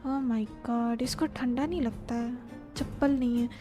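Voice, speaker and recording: A woman's voice: on the high side, fairly even intonation, rapid-fire, dark tone. A woman with an Indian accent giving casual live game commentary as a streamer, switching between two languages. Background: game music.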